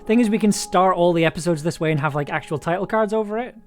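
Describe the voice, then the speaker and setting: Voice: mid-range voice, fast talker, faint music behind. An enthusiastic audio producer chatting casually and effusively on a livestream while editing. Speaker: audio drama producer and streamer.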